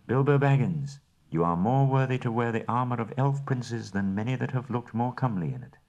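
A man's voice: medium pitch, lively intonation, fairly quick, a little mellow, muffled recording, pitched above the performer's own voice, lightly acted. A man voicing a stately, gracious male elf king who speaks in a formal, courtly way.

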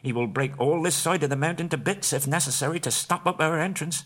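A man voicing a small timid hobbit in a high, squeaky, nervous voice. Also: a little fast, a bit bright. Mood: worried.

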